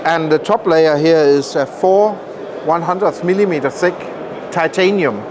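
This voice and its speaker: Male product maker, somewhat high pitch, emphatic technical explainer, loud show-floor noise behind. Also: measured pace. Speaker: loudspeaker maker, interview guest. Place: indoor audio trade show room.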